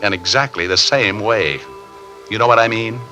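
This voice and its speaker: An actor playing a wry, world-weary American detective recounting a case in a 1950s radio drama. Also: male voice, lowish voice, moderate pace, over loud music, natural voice. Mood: wistful, wry. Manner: wry, conversational, rueful.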